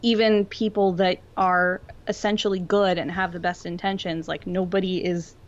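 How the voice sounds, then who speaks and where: A woman with a fairly low voice talks casually as a podcast co-host. She is earnest and thoughtful, reasoning aloud in informal language. The recording is a little dull and lo-fi. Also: level tone, normal speed. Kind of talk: casual discussion of fiction. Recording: dull lo-fi audio.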